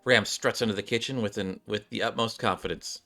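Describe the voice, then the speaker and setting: A man's voice: fairly low pitch, fairly even intonation, fairly quick, sharp tone. A man streaming a game, reading its story aloud and adding casual commentary. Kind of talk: narration and casual playthrough commentary.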